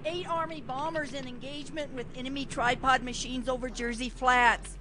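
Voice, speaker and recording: A woman's voice: high-pitched, steady tone, moderate pace, close to an everyday voice. A woman playing an urgent, formal military radio dispatcher in an amateur radio play. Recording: clear background noise.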